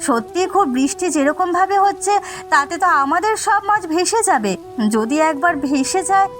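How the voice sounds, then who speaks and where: A woman plays a supportive but anxious village wife in a cartoon folk tale. The voice is extremely high and earnest, given to exclaiming. Faint music plays underneath. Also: lively intonation, moderate pace. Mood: worried. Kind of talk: Bengali cartoon dialogue acting.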